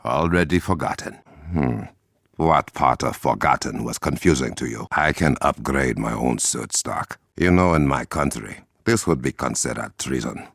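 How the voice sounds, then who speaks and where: An actor playing a dignified Wakandan warrior king in a video game, with an African accent, a gravelly husky voice and formal, measured, dryly witty phrasing. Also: rumbling low, dry recording, clean studio sound, full character voice. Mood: dry, reproving. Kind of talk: video game character dialogue.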